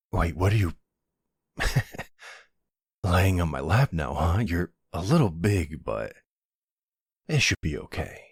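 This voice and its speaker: A man playing a gentle, worried boyfriend in an intimate roleplay audio drama, soothing and reassuring with a hesitant delivery. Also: dry recording, clean studio sound, heavily acted. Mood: soothing, playful. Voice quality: low, husky voice.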